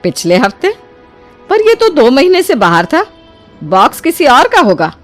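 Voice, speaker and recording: A woman acts out a soft-hearted older mother-in-law from a cartoon moral story. She is trusting and earnest, with a fairly high, lively pitch. Music plays behind her. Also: a little slow, exaggerated voice. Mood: bewildered, questioning. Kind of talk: animated Hindi moral story dialogue.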